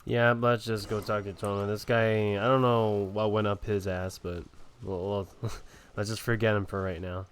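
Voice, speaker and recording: A man's voice: fairly low pitch, level tone, normal speed, clean tone. A man giving casual, chatty commentary while playing a video game. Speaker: game player.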